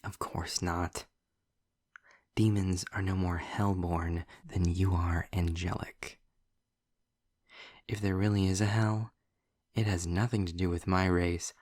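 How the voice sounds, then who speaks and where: Man acting snarky demon, audio roleplay, deep gravelly voice, dry close sound, testy, articulate, deliberate pauses. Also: level tone, a little mellow, dry recording. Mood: testy, disdainful. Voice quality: gravelly, husky, breathy. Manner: wry, formal wording, deliberate pauses. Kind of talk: scripted audio roleplay monologue addressed to the listener.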